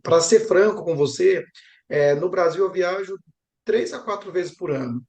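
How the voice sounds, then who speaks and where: A man's voice: high voice, normal speed, creaky, darkish tone. A young Brazilian man speaking conversationally as an interviewed professor, talkative and reflective, often adding little tag questions. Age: young adult. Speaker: geography professor interviewed about travel. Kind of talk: conversational interview answers, storytelling. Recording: roomy, echoing sound.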